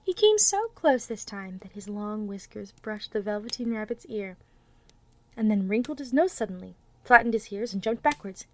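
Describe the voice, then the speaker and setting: A woman narrating a children's storybook expressively, with dramatic delivery that often drops toward a hush. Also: medium pitch, lively intonation, normal speed.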